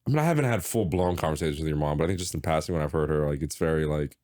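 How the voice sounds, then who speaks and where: A man's voice: extremely low pitch, darkish tone. A young man co-hosting a podcast, chatting casually and laughing easily with his co-host. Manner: responsive listener, quick to laugh. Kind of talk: casual chat.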